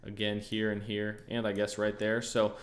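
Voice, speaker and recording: A young man with an American accent and a lowish voice hosts a relaxed livestream. He chats with viewers in an easygoing way, thinks out loud, and leans on fillers and restarts as he goes. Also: moderate pace. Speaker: trading livestream host. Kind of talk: casual livestream chat answering viewers.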